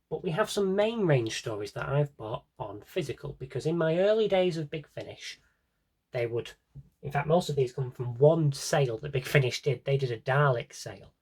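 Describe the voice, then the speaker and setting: A man talks in a slightly rough, husky voice at a middling pitch. He presents like a hobbyist vlogger, casually and off the cuff. His delivery is wry and meandering, often interrupting itself as he thinks aloud. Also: expressive, normal speed. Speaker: collector showing his audio drama CDs. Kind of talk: casual rambling vlog chat.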